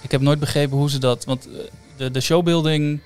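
A man's voice: a little high, steady tone, fairly quick, darkish tone. A man, chatting casually as a podcast co-host. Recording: background music under the talk. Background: ambient theme-park music.

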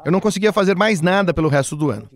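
A young man reading a translated interview answer as a voice-over, steady and clear. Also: high-pitched, rapid-fire. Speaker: radio host and voice-over interpreter. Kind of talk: voice-over translation, then casual chat.

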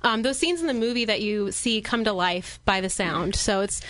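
A woman's voice: medium pitch, normal speed, fairly bright tone, no echo. A woman talking conversationally as an interview guest. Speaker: interview guest, cast member.

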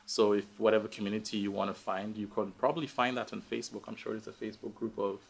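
Male interview guest, Guyanese accent, deeper register, unhurried thinking-aloud delivery, conversational. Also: level tone, gravelly. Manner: hesitant, reflective, thinking aloud. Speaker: interview guest, tech professional. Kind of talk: casual live conversation.

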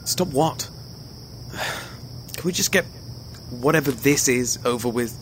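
An actor playing a hurt young ex-boyfriend in an audio drama, sardonic, clipped and dismissive. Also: male voice, medium pitch, unhurried, a bit bright, lightly acted. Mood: irritated. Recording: noisy, night insect ambience behind.

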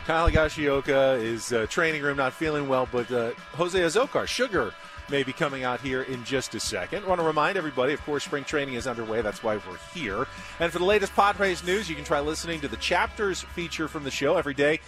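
A man's voice: fairly high pitch, lively intonation, fast talker, over music. An American man hosting a live sports radio interview on location at a training camp.

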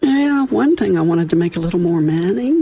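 A woman's voice: fairly low pitch, animated, a little fast, mellow, a little hiss or hum. A woman in her sixties from West Virginia with a Southern accent, speaking as a guest in a radio studio interview with folksy, self-deprecating humor. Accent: Southern American. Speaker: radio show guest, chapter chair. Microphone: studio microphone.

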